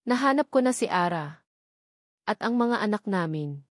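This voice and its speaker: A woman's voice: mid-range voice, expressive, normal speed, dry recording, close to an everyday voice. Earnest billionaire dad for a story drama, read by a woman, emotional and sincere, dry sound. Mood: shaken, emotional. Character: wealthy young father, earnest, shaken, emotional billionaire.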